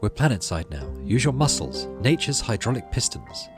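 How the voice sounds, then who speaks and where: A man voicing a brisk, no-nonsense colonist mother in a game, instructing her child matter-of-factly. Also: mid-range voice, sing-song, faint music behind, natural voice. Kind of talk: reading visual novel game dialogue.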